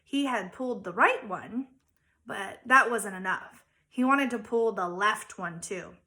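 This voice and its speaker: A woman, a teacher, reading a children's story aloud with dramatic emphasis and theatrical pauses. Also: mid-range voice, bright, forceful tone. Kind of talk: expressive children's storybook narration.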